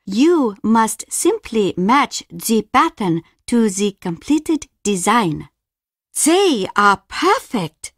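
Slow-spoken, formal older Japanese mother. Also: on the high side, no echo, studio quality, heavily acted. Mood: gracious, instructive. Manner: formal, measured, careful delivery. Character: grieving, courteous older Japanese mother and innkeeper. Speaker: video game voice actor. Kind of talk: scripted game dialogue.

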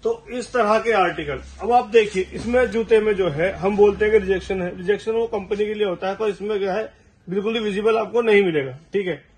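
A man in his thirties talks through his shoe stock in a high voice, keeping up a steady seller's patter. He stands some way from the mic, and the bare warehouse around him rings with echo. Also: brisk. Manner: pitching stock, repeating "thik hai ji". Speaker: shoe wholesaler. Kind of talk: sales pitch to camera. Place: large tiled warehouse stacked with shoe boxes. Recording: echoey warehouse, mic at a distance.

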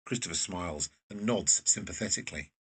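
Male narrator for scripted scene description: lowish, rough-grained, slightly distant mic. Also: lively intonation, a little fast, a bit bright. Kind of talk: scripted narration of stage directions.

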